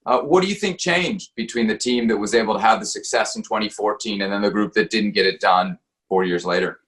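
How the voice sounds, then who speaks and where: A man with a slightly low voice interviews a guest about sport. He sets up each question conversationally, as a reporter would. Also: a little fast, a bit bright.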